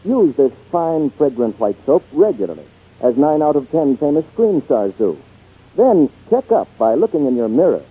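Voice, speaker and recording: A man's voice: a little high, very animated, moderate pace, breathy edge. A male radio announcer delivering scripted 1940s advertising in polished, emphatic sales patter. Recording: tinny 1940s radio broadcast.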